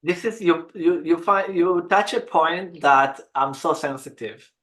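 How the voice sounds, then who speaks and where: Woman agency head, halting English. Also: lowish voice, fairly bright tone. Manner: halting, non-native English. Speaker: egg donation agency head. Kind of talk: webinar talk.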